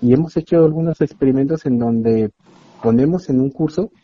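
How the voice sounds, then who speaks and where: A man's voice: mid-range voice, moderate pace, no echo. A man, a university expert guest earnestly explaining things at length in an interview. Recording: dull lo-fi dry sound.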